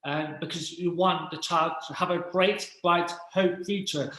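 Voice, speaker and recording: Halting man in an echoey room. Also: fairly high pitch, fairly even intonation, sharp tone. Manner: halting, many restarts and fillers. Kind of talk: casual interview talk.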